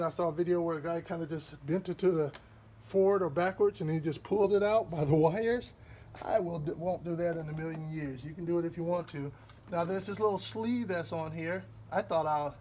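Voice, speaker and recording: A man from Wisconsin with a Midwestern accent, explaining a car repair step by step in a relaxed, rambling way while he works. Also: on the high side, a little hiss or hum. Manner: relaxed, rambling, with fillers and pauses while working. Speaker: DIY car repair host. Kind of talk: hands-on repair walkthrough.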